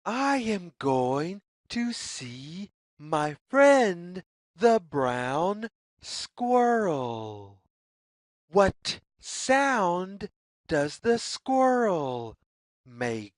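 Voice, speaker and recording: A woman reading a picture book aloud to young children as a narrator, with deliberate, measured, sing-song phrasing. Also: lowish voice, big pitch swings, no echo, clean studio sound.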